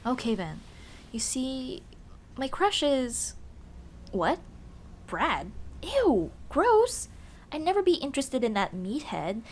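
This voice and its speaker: Lively, high-voiced tomboy friend acted by a woman. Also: a little hiss or hum, heavily acted. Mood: nervous, then scornful, blunt. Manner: casual, boyish slang, hesitant pauses. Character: tomboy childhood friend, young woman, teasing but shyly lovestruck. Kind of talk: ASMR roleplay, intimate one-sided dialogue.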